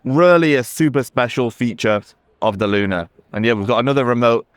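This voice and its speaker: A man's voice: mid-range voice, brisk, no echo. A man representing a company, giving an enthusiastic, casual product demo on a busy trade show floor. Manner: enthusiastic, conversational, with fillers. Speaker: company representative demoing a camera.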